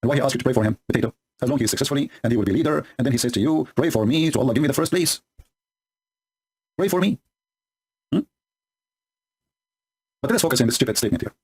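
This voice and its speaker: Male commentator, native Arabic speaker, husky voice, sarcastic and combative, broken English, sped-up recording. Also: mid-range voice, fast, pauses often, a little mellow. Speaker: religious polemic commentator. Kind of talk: commentary reading and mocking scripture. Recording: sped up to double speed.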